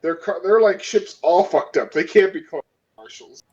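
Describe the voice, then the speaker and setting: A man's voice: sits high, moderate pace, darkish tone. A man playing a tabletop role-playing game with casual banter, heard on dull lo-fi audio.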